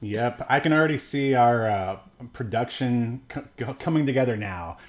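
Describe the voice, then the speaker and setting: A man's voice: mid-range voice, expressive, moderate pace. A man co-hosting a podcast, chatting casually about films in a rambling, joking way. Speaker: podcast co-host. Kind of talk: casual movie review chat.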